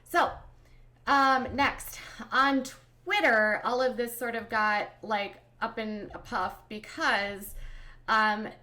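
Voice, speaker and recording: A woman's voice: on the high side, fairly even intonation, slow, bright, forceful tone. A woman from Minnesota with a Minnesotan accent, hosting a casual commentary stream, talking in an exasperated, emphatic way and calling her viewers "you guys.". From Minnesota, USA. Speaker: commentary channel host.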